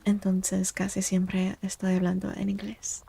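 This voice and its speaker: A woman from the United States chatting softly and casually in an ASMR style, with a gentle, encouraging manner, as a streamer talking to viewers. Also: mid-range voice, monotone, moderate pace, vocal fry, husky. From United States, born to Mexican parents. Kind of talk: soft-spoken ASMR casual chat. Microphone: close, dry microphone.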